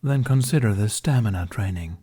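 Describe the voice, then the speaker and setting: A man's voice: fairly low pitch, animated, fairly quick, dark tone, husky. A man voicing a male dragon companion, smug, teasing and protective, with a dry, confident delivery, in a fantasy audiobook.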